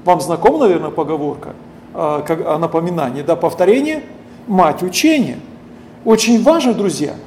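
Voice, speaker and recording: A middle-aged man preaching a sermon, reading scripture slowly and then explaining it, often turning to his listeners as friends and asking them questions. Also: a little high, animated, darkish tone, a little hiss or hum. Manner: deliberate, keeps addressing listeners as friends, asks rhetorical questions.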